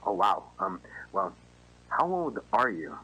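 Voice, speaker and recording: A chatty, friendly restaurant manager acted by a man in an analog horror phone call. His voice is low yet bright and swings in a lively way. He is casual and reassuring, over faint noise. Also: takes it slowly, breathy edge, exaggerated voice. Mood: upbeat, reassuring. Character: chatty, easygoing restaurant manager interviewing a job applicant. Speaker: voice actor in an analog horror video.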